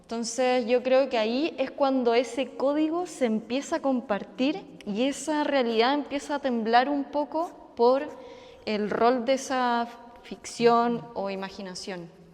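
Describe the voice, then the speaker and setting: A woman artist speaking on a panel in a reflective way, with fillers and pauses. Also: sits high, a little mellow, in a large hall. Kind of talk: public panel discussion. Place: community center hall.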